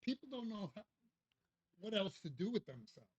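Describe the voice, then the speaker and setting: A man's voice: sits high, big pitch swings, moderate pace, breathy edge, no room sound. A man co-hosting an interview, chiming in with short, agreeable remarks and some casual swearing. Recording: dull, muffled audio.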